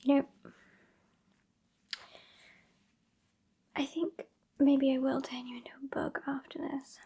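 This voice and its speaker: A woman's voice: sits high, little pitch movement, fairly quick. A woman playing a haughty, sassy female vampire with a British accent in a whispered ASMR roleplay, condescending and exasperated. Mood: disdainful. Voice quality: whispery, breathy. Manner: condescending, exasperated, softly scolding. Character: sassy, haughty old female vampire scolding her fledgling.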